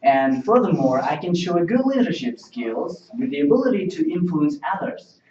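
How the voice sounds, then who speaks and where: A man's voice: fairly high pitch, big pitch swings, normal speed, a little mellow, slight background noise. Earnest young man, Uzbek native, roomy distant mic. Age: young adult. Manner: earnest, rehearsed, careful English with many fillers. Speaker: job interview candidate. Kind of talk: role-played mock job interview. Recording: distant, roomy, dull lo-fi sound.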